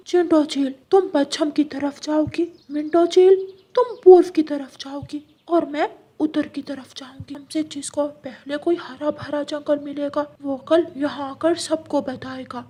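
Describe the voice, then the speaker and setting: A woman's voice: high voice, unhurried, dark tone. A woman voicing a scheming, predatory female eagle who plots in declarations, in a cartoon story. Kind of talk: Hindi cartoon story acting.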